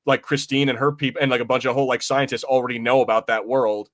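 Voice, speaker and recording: Fast-talking man, casual podcast co-host. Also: mid-range voice, level tone, fairly bright tone. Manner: swears casually. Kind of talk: casual film review podcast chat.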